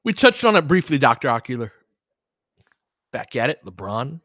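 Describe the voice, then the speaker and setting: A man's voice: mid-range voice, big pitch swings, moderate pace, bright, forceful tone, dry recording, studio quality. A man doing live audio play-by-play of basketball, mixing conversational analysis with replies to listeners as he thinks aloud. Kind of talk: live basketball commentary mixed with casual analysis and chat replies.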